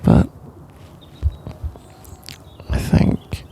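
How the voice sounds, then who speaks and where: A man with a British accent playing a tender, sleepy boyfriend in an ASMR roleplay, soft-spoken and breathy, speaking haltingly and reassuringly. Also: slight background noise.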